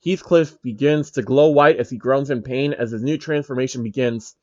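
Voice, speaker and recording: A man's voice: medium pitch, moderate pace, a little mellow, dry recording, highs cut off. A man narrating a comedic story in a casual, irreverent way, with offhand asides.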